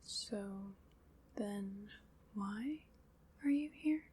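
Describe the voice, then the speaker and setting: A woman playing an ancient undead woman who commands a skeleton army, in a soft, dry, teasing whisper for an ASMR roleplay. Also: medium pitch, very slow, husky, heavily acted.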